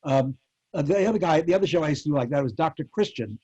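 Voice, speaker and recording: An older man reminiscing casually as a panel guest, telling stories in a halting, rambling way over an online call. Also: a little high, lively intonation, mellow, slightly rough, dull audio. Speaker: panel guest, former child radio actor. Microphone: video call.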